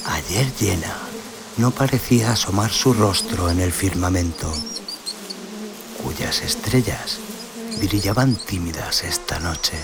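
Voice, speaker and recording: A man narrating a scripted western horror tale in a brooding, theatrical, deliberate manner. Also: low-pitched, creaky, very noisy. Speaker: audio story narrator. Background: cinematic sound design and music.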